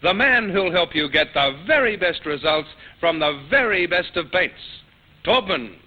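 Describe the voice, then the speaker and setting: Husky male radio ad announcer. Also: high voice, lively intonation, a little slow. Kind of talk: scripted vintage advertisement read. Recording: dull old radio recording.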